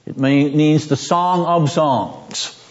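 A man teaching a seminary class on biblical poetry, deliberate and emphatic, repeating his points and often clearing his throat. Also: a little high, sing-song. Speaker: seminary lecturer. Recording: dull lo-fi classroom recording.

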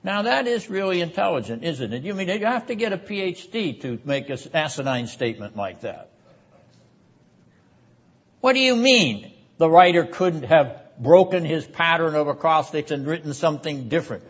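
A man giving a theology lecture as a seminary academic, speaking in a deliberate, emphatic manner with rhetorical repetition. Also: a little high, very animated. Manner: frequent throat-clearing. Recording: dull, muffled lo-fi lecture recording.

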